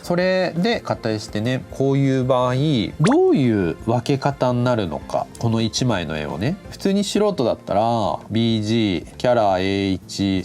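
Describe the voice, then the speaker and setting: A man's voice: on the low side, a little mellow. A man giving an instructional lecture in casual polite speech, explaining step by step and thinking aloud as he goes. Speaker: animation director giving a lecture. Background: background music.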